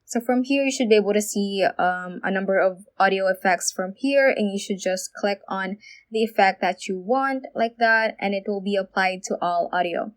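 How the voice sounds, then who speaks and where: A woman's voice: mid-range voice, moderate pace. Woman narrating a how-to tutorial. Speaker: tutorial presenter.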